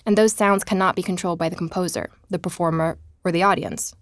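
An actress playing a curious woman investigator who hosts a podcast in an audio drama, speaking in a measured, explanatory way. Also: fairly low pitch, steady tone, no room sound, studio quality, natural voice. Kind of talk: scripted documentary-style podcast narration.

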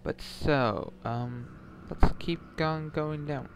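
Slow-talking young man gaming. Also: fairly high pitch, creaky, noisy background. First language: Swedish. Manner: muttering, reacting to a horror game. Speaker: gamer. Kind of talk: let's play commentary. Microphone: headset mic.

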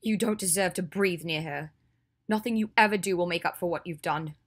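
A woman's voice: on the low side, a little slow, mellow. A woman voicing a fierce, devastated young woman in an audiobook, protective and full of loathing. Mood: cold fury. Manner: shaking with rage, cold when accusing. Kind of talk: audiobook character acting.

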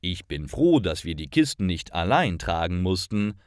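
A man reading a scripted story for language learners, with clear and careful, expressive enunciation. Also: deep voice, normal speed, no echo, clean studio sound. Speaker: narrator of stories for language learners.

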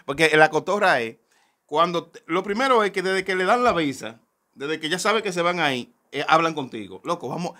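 A Dominican-accented man in his thirties hosts a casual comedic chat, teasing his guest and laughing often. His voice is bright and somewhat high. He talks into a desk mic inside a small clothing shop. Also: lively intonation, fairly quick. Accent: Dominican Spanish. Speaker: host. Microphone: desk boom mic.

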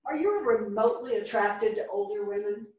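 A woman's voice: medium pitch, normal speed, darkish tone, dull audio, close to an everyday voice. A woman playing an outspoken, philosophical older writer in a staged play reading. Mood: blunt. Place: small theatre stage, indoors. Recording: very echoey theatre, distant microphone.

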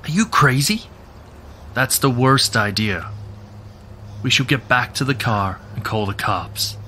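A man's voice: medium pitch, measured pace, bright, forceful tone, slight background noise. A man playing an alarmed, cautious teenage boy in a horror audio drama. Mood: panicked.

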